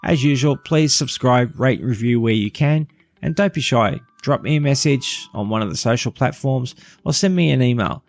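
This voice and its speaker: A man's voice: medium pitch, normal speed. A man hosting a podcast, reading a scripted review close to the microphone.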